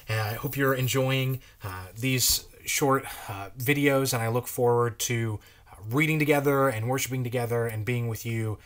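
A man's voice: mid-range voice, fairly bright tone. A man in his thirties casually addressing children as a read-aloud presenter, in a small room.

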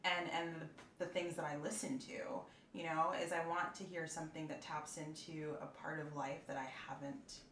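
A woman in a casual panel discussion, speaking earnestly and reflectively, with some halting. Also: low voice, little pitch movement, fairly bright tone. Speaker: drama podcast cast member in a roundtable. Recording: roomy echo, clear background noise.

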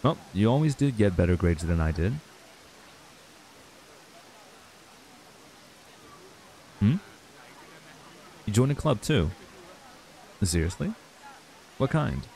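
A man's voice: lowish voice, sing-song, measured pace, pauses often, mellow, rough recording. A man playing a laid-back, wistful young man in an ASMR roleplay, talking casually and hesitantly to a childhood friend. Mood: impressed. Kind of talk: ASMR roleplay acting, intimate and conversational.

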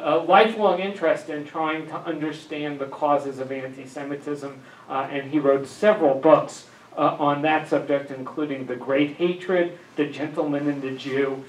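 An older man giving an academic lecture with a handheld mic, full of "uh" fillers and dry asides. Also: fairly high pitch. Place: dim lecture room with projector. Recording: echoey room, camera far off.

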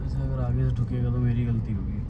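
A man's voice: mid-range voice, fairly quick, distant mic, narrow, tinny audio, very noisy. Young man chatting while driving. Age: young adult. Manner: relaxed, chatty, talking to himself while driving. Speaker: vlogger. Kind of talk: casual driving commentary. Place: inside a car in traffic. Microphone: dashboard phone camera.

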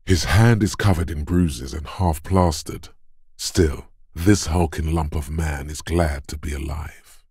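A rumbling, very deep macho coach. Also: male voice, measured pace, a little mellow, no echo, clean studio sound, heavily acted. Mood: slow narration. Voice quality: gravelly, husky. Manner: commanding, taunting, blunt. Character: gruff, macho drill-coach inner voice of physical strength. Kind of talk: video game voice acting.

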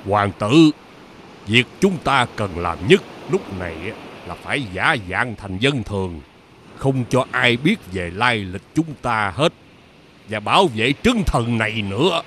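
A man playing a kindly old mandarin in an animated fairy tale, gentle and deferential. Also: medium pitch, a little slow, slight background noise. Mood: earnest, gentle. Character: kindly old court mandarin posing as a grandfather. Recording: background music under the voice.

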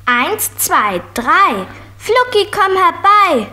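A woman's voice: very high voice, sing-song, very slow, pushed and bright, heavily acted. A woman playing a curious, stubborn preschool girl in a children's radio play, with a childlike, insistent delivery.

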